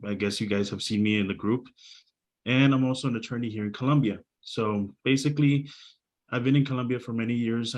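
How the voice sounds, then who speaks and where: A man with a fairly low voice presents as a lawyer. His delivery is informal yet professional, like a guide talking through slides. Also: poor audio.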